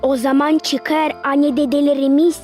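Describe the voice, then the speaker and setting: A woman voicing an inquisitive young girl in an animated cartoon. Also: high-pitched, a little slow, faint music behind. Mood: curious. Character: young girl questioning her mother in a cartoon.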